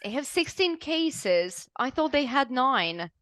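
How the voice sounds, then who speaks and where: A woman's voice: high-pitched, slow pace, no echo. Chatty woman, dry sound. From Serbia. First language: Serbian. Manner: chatty, laughs often, animated. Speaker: English teacher. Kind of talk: casual chat.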